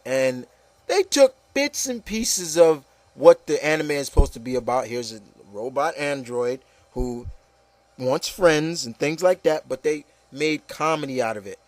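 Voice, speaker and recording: Male panel host, fairly high-pitched, dry recording. Opinionated, emphatic, conversational, repeats points. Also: animated, a little slow, no room sound. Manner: emphatic, repetitive, peppered with "you know". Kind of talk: casual opinionated panel discussion.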